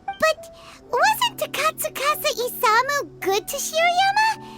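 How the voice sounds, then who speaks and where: A woman voicing a tiny, chirpy and nosy female fairy companion in a video game, excitable and gasping as she talks. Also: very high-pitched, a little slow, heavily acted. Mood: curious. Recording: game audio with loud music underneath. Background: game music.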